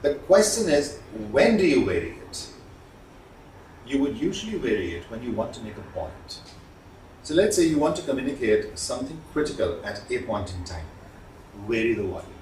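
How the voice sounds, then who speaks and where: A man giving an instructional lecture as a trainer, speaking deliberately and emphatically with pauses between points. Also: mid-range voice, very animated, a little mellow. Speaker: communication trainer. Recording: roomy, distant mic, faint background noise.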